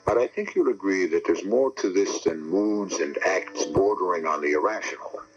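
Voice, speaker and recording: A man hosting a radio mystery series, giving a measured, solemn, deliberate introduction on a lo-fi 1970s broadcast. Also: deep voice. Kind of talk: scripted dramatic introduction.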